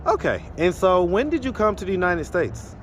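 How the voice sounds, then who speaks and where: A man's voice: high-pitched, very animated, moderate pace. A man interviewing people on the street, casual, often saying okay and laughing. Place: city street outdoors. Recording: outdoor street with traffic.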